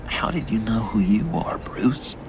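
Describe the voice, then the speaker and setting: An actor playing a lovesick, unhinged, childlike young man in a video game, halting and breathy in a theatrical delivery. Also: lowish voice, expressive. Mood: brooding. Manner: halting, breathy, swooping between whispers and outbursts. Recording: music behind the voice.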